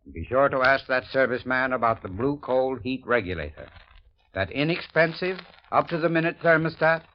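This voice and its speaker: A man's voice: mid-range voice, expressive, a little fast, a little hiss or hum. A man reading a scripted radio advertisement in a friendly, persuasive manner. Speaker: sponsor spokesman. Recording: dull old radio recording.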